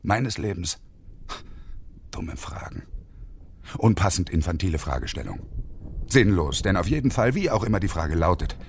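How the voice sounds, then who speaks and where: An actor playing a bitter, desolate unemployed engineer around fifty in a radio play, with a husky, brooding delivery. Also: male voice, deep voice, very animated, normal speed, long pauses, slight background noise. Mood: bitter, agitated. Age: middle-aged. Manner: brooding, often whispery delivery. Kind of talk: German radio play acting, monologue.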